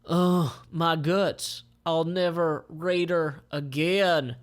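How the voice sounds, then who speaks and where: A man's voice: on the high side, fairly even intonation, extremely slow, pitched above the performer's own voice. A man voicing a self-absorbed, clueless, whiny male warlord in a comic read aloud. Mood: pained.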